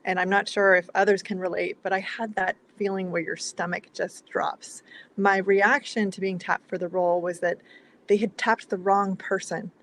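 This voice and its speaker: A woman's voice: mid-range voice, little pitch movement, normal speed, a bit bright. Composed woman with noticeable background noise. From Mexico. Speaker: panelist, strategic planning leader. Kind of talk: composed professional panel talk. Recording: noisy background on call.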